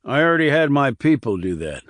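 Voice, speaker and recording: A man's voice: medium pitch, big pitch swings, brisk, a bit bright, no echo, voice pushed up. Man as heavyset coal baron, middle-aged, arrogant, irritable, snarling, curt, audiobook character, dry sound. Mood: curt, irritated.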